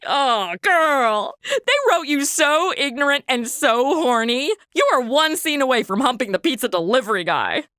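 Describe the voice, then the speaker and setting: A woman's voice: high-pitched, animated, unhurried, hardly any pauses, sharp tone, clean tone, no room sound, studio quality, exaggerated voice. An actress playing a sassy, wisecracking modern woman in a comedy audio drama, with an irreverent, slangy delivery. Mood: sardonic.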